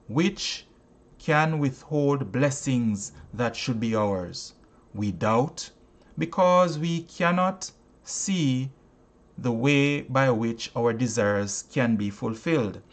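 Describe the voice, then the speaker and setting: A man reading a self-help audiobook aloud in a deliberate, emphatic, preacherly way. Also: mid-range voice. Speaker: audiobook reader and teacher.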